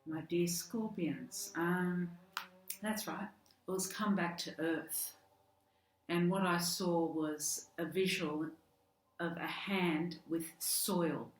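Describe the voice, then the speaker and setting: A woman with an Australian accent who is losing her voice, giving a chatty tarot card reading with soft laughs and fillers. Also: lowish voice, steady tone, slow pace. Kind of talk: casual direct-to-camera tarot reading. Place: home room with garden work outside. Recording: echoey room, faint outdoor garden work noise.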